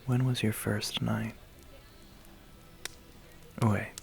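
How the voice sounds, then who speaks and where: A man voicing a suave, menacing vampire biker in an ASMR roleplay, slow and teasing with a breathy, gravelly half-whisper. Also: lowish voice, little pitch movement, full character voice. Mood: amused, teasing. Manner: slow, teasing, quietly threatening.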